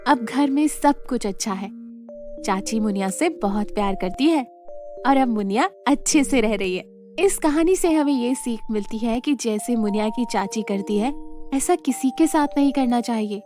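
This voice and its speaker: High-voiced woman storyteller. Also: lively intonation, moderate pace, darkish tone, soft background music. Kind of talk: scripted children's moral story narration.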